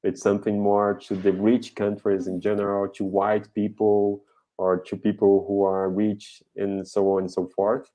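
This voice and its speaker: A man with a Brazilian accent speaking English slowly on a video call, searching for his words as he hosts a conversation meeting. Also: fairly low pitch, completely flat delivery, dark tone, dull audio. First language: Portuguese. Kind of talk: live online conversation.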